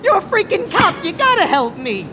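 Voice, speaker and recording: An actor playing a panicky small-time mobster in a video game, whining and pleading frantically. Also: male voice, very high voice, wildly swooping pitch, moderate pace, noisy background, exaggerated voice. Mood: frantic pleading. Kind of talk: exaggerated game cutscene acting.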